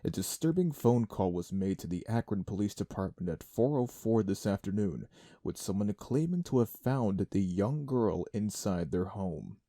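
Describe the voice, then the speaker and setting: A serious male news anchor played by a man with a lowish, mellow voice. He reads formally and measuredly in a dry, close recording. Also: expressive, normal speed, no echo. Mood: grave. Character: grave male TV news anchor reporting disappearances. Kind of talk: scripted news narration.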